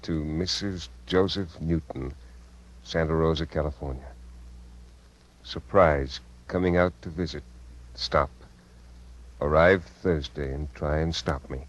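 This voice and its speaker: An actor playing a suave, confident uncle in a measured 1950s radio drama style. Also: male voice, extremely low pitch, exaggerated voice, low-quality recording. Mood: smooth, assured. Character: suave, confident visiting uncle sending a telegram. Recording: vintage 1950s radio broadcast.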